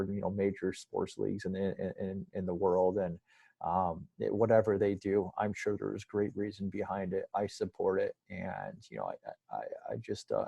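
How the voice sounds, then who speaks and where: A man's voice: lowish voice, vocal fry, warm tone, husky, no echo. A man giving a casual, rambling sports interview as a guest broadcaster, in a hesitant, conversational way.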